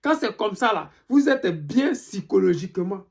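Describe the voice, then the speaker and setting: Gravelly Ivorian voice, indignant political monologue. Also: mid-range voice, expressive, normal speed. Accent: Ivorian French. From Côte d'Ivoire. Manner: indignant, emphatic, repeats questions. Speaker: political commentator. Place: living in Europe.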